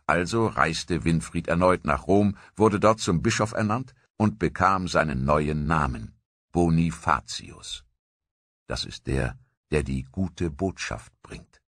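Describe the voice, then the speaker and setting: Deep, husky documentary narrator. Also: male voice, fairly bright tone, no room sound, studio quality. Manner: measured, grave storytelling.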